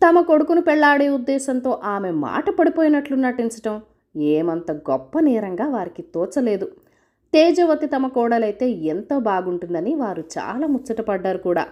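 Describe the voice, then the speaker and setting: A woman narrates a folk tale from a script. Her voice sits fairly high, and her intonation moves with lots of variety as she tells the story. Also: sing-song, normal speed, highs cut off.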